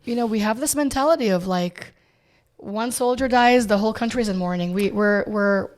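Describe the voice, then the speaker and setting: A woman's voice: medium pitch, normal speed. Earnest lady guest on a podcast, keeps restarting sentences, medium voice. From Israel, raised in the US. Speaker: guest, realtor. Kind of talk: casual chat.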